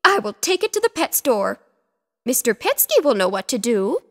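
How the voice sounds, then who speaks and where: A woman's voice: a little high, very animated, normal speed, fairly bright tone, long reverb tail, heavily acted. A woman doing a fretful, tearful old lady. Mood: distressed.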